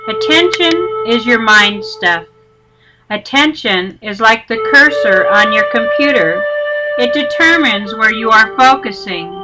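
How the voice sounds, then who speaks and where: A woman teacher narrating a guided meditation with measured, instructional delivery. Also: on the high side, lively intonation, rough recording. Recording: loud music under the voice. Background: loud instrumental music.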